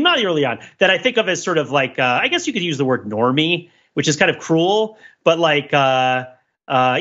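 A man's voice: fairly high pitch, sharp tone, highs cut off. A man talking casually as a podcast panelist, rambling and often interrupting himself as he searches for words. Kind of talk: casual discussion.

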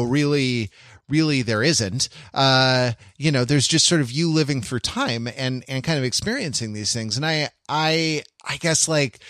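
A middle-aged American man with a medium-pitched voice reflects casually on a podcast. He is introspective and halting, often cutting himself off to rephrase, and the sound is dry with no room echo. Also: a bit bright. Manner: halting, self-interrupting, introspective. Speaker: podcast co-host.

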